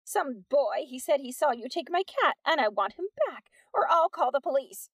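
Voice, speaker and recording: A woman voicing a loud, indignant woman neighbor who accuses someone of taking her cat. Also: animated, moderate pace, no room sound, studio quality. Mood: whining. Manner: shrill, accusing, whiny.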